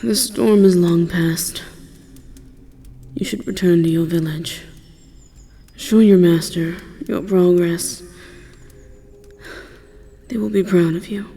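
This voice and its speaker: Remorseful dragon played by a voice actor, husky and dark, solemn halting delivery, audio drama, cavernous reverb. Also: medium pitch, fairly even intonation. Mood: resigned, sorrowful. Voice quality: breathy, husky.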